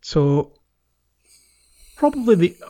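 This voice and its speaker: A man's voice: high voice, very animated, slow, mellow. A man hosting a casual gaming podcast, explaining things in a hesitant, trailing way. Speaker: podcast host.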